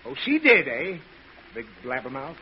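A man's voice: medium pitch, wildly swooping pitch, moderate pace. An actor playing an exasperated, short-tempered, suffering father in old radio comedy, groaning and sputtering. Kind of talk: 1940s radio comedy acting. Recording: muffled, noisy old radio show with audience.

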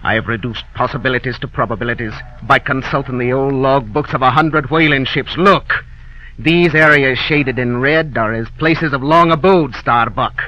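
Obsessive old captain, grandiose and commanding, slightly raised pitch, old radio feel. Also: male voice, bright, forceful tone, rough recording. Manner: grand, commanding, rising to declamation. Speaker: ship captain. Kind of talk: radio drama acting.

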